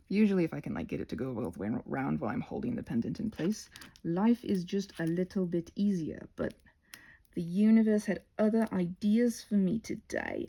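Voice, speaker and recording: A woman with a creaky, average-pitched voice chats casually through a hands-on craft tutorial. She rambles and trails off, often talking to herself, and keeps a playful tone as she works. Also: mellow. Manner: scattered, trailing off mid-sentence, self-talking.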